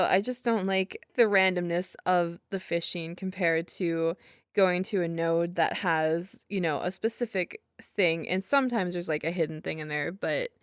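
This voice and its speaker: A woman's voice: mid-range voice, fairly bright tone, no room sound, clean studio sound. A young woman in an intimate roleplay monologue, rambling casually as a girlfriend in a giggly, hesitant, self-deprecating way. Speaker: audio roleplay performer as girlfriend.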